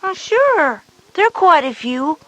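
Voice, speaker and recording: A woman plays a schoolgirl big sister in a children's educational tape. The voice is high and lively, explaining things patiently and reassuringly with plenty of rise and fall. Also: measured pace, no room sound. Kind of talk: scripted educational audio drama.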